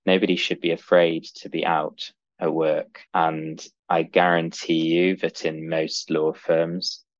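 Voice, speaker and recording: A lawyer with a deep voice, speaking earnestly and confidently as an interview guest. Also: flat delivery, takes it slowly. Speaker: podcast guest, real estate lawyer. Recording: dull sound, echoey room.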